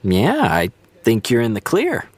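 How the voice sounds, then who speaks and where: An actor playing a friendly, casual young TV star in a naturalistic audio drama. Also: male voice, medium pitch, wildly swooping pitch, measured pace, slightly rough, no room sound, exaggerated voice.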